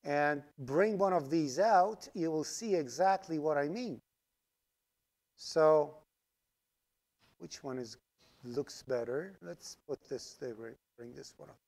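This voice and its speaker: A man's voice: a little high, slow pace, vocal fry, long pauses, a little mellow. Man teaching code, native Farsi speaker. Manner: explains step by step while typing code, asks "right?" often. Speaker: programming instructor. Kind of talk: live coding lecture. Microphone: screen recording with voice-over mic.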